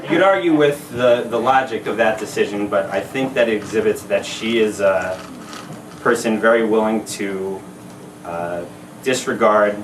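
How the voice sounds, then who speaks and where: Groom, thirties, low voice, halting and laughing toast, echoey party room, mic at a distance. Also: male voice, background noise. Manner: halting, many fillers, laughing asides. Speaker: groom giving a speech. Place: party venue with crowd.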